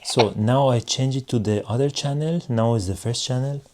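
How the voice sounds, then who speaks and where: A man giving a casual hands-on product review in measured, non-native English phrasing. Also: mid-range voice, a little mellow, slight background noise. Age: adult.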